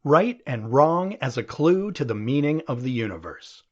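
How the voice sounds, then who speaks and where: A man reading an essay as an audiobook in a deliberate, expressive, explanatory manner, as scripted narration. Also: mid-range voice. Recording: dull, lo-fi audio.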